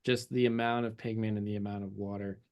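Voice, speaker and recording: A man's voice: lowish voice, flat delivery, fast, darkish tone. A man teaching a watercolor demonstration, thinking aloud as he paints, his voice a little worn from losing it. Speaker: painting instructor.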